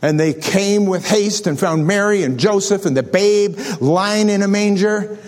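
A man's voice: high-pitched, a little slow, darkish tone. A man in his sixties preaching a sermon, reading scripture and telling self-deprecating jokes. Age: 60s. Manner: storytelling, self-deprecating humor. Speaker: pastor. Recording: echoey hall with long reverb.

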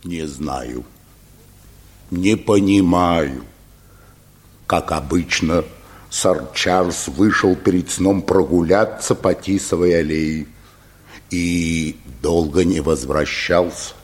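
An actor playing a grave, anxious manservant in a radio play, speaking in a halting, solemn manner. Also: male voice, deep voice, husky, full character voice. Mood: grave, subdued. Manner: slow, halting, solemn delivery. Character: grave, anxious manservant bringing news of death.

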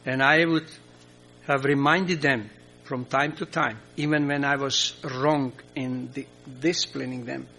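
An older man from Croatia who speaks English as a second language, with a Croatian accent. He is a missionary pastor answering audience questions in an earnest, halting way, full of fillers. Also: mid-range voice, fairly even intonation, pushed and bright, slight background noise.

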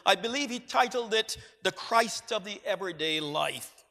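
A high-pitched man from Barbados delivers a measured, reflective sermon. His voice is bright and ringing, and it carries a long reverb through a church sanctuary. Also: animated, creaky. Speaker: preacher.

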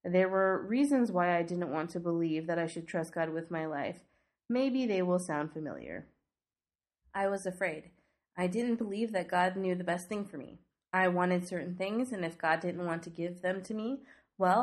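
Young woman with a stuffy cold voice, low-ish, earnest and chatty, hosting a faith podcast. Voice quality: snuffly, stuffy nose. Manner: earnest, conversational teaching with rhetorical questions. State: congested from a cold. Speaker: podcast host. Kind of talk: devotional podcast teaching.

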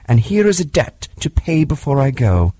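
A man's voice: medium pitch, big pitch swings, moderate pace, dark tone, breathy edge, no echo, pitched above the performer's own voice. A man voicing a fierce, defiant boy raised by wolves, speaking in proud, commanding archaic phrases.

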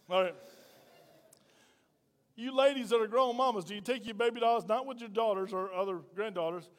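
Man, middle-aged, high voice, pastor preaching, folksy emphatic questioning, long hall reverb. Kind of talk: sermon to a congregation. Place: church sanctuary.

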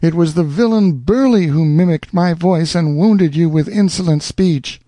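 A man's voice: a little high, normal speed, dark tone, no room sound, studio quality, voice pushed up, lightly acted. A man reading a devoted young lover in an audiobook, fervent and exclaiming in a somewhat raised voice. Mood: ardent.

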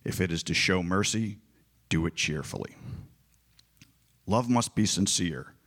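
A man reading a long scripture passage aloud in church, in a slow and solemn way. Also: low-pitched, animated, a bit bright. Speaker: scripture reader.

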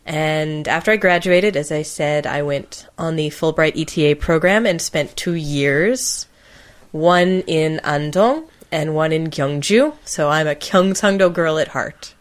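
Slightly low-voiced woman, playful podcast host. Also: a little slow. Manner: playful, teasing. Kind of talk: casual podcast chat.

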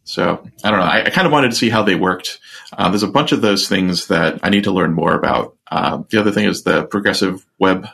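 A man's voice: low voice, brisk, vocal fry, fairly bright tone, breathy edge. A man co-hosting a podcast, chatting casually and rambling with many fillers and restarts. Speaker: podcast co-host. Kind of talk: casual tech chat.

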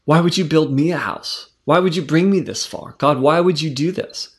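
Earnest man teaching from the Bible. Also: a little high. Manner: earnest, conversational, with many fillers and restarts; reads verses aloud slowly. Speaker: preacher teaching scripture.

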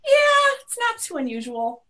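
A woman's voice: very high-pitched, wildly swooping pitch, unhurried, bright, forceful tone. A Midwestern American woman speaking as a guest on a webinar, chatty and upbeat, with many restarts. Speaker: guest, media company owner. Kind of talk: webinar conversation.

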